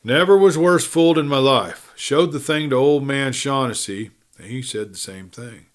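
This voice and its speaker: A man's voice: medium pitch, normal speed, close to an everyday voice. A man voicing a direct, persuasive prospector in his prime in a western audiobook, with rustic speech. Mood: blunt. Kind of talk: western audiobook character acting.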